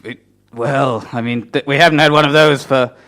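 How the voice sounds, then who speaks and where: A man's voice: medium pitch, fairly even intonation, normal speed, fairly bright tone, close to an everyday voice. A man playing a flustered, pedantic airport boss in an improvised comedy radio play, stammering and fussy. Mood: puzzled, hesitant.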